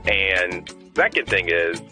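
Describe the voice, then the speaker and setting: A man's voice: low voice, very animated, slow pace, bright, forceful tone. A young man speaking earnestly and emphatically as a caller in a personal radio phone interview. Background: music.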